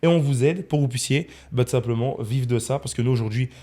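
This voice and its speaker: A man's voice: mid-range voice, fast, dark tone. A man of twenty-one hosting a casual podcast in a studio, rephrasing his points for emphasis. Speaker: podcast host, freelance video editor.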